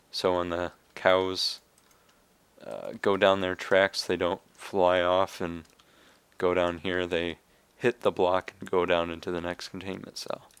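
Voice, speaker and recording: A young man talks unhurriedly through a game tutorial, pausing on fillers as he goes. His low voice is raspy and a little hoarse, yet comes through smooth and close on a dry, roomless desk mic. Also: no echo. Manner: relaxed, explaining step by step with fillers. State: hoarse from coughing. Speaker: video game tutorial maker. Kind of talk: casual screen-recorded game tutorial.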